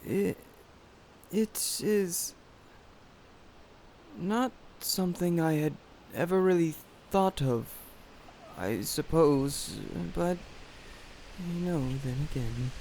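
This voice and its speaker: A man playing a troubled, unsure figure in a fantasy audio drama, halting and hesitant. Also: a little high, a little mellow. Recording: faint background hiss.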